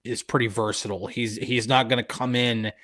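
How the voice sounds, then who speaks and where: A man's voice: medium pitch, little pitch movement, fairly quick, a bit bright. A man co-hosting a live sports podcast, agreeing easily and breaking down coaching options. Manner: agreeable, easygoing, analytical. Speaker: podcast co-host. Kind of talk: live podcast chat and football analysis.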